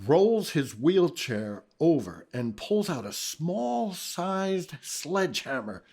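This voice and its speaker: A man's voice: fairly high pitch, very animated, slow pace, darkish tone. A man reading scripted narration for a radio play.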